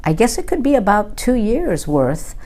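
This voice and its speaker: A woman in her fifties from California, a native Sicilian and Italian speaker, giving measured, thoughtful oral history answers with frequent pauses. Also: medium pitch, big pitch swings, dark tone. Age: 50s. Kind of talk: reflective interview answers.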